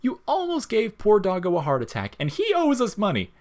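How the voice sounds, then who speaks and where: A man doing a high-pitched female dog monster. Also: wildly swooping pitch, fairly quick, darkish tone, heavily acted. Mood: teasing, scolding. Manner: teasing, mock-scolding. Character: female dog monster, teasing scolding tavern regular.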